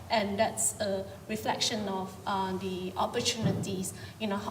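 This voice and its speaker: A woman from Malaysia speaks on a panel, hesitant and full of ums and restarts. Her voice reaches a distant mic in a big hall with a long, roomy reverb. A clear layer of background noise sits under her. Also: mid-range voice. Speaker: panel participant. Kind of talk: panel discussion.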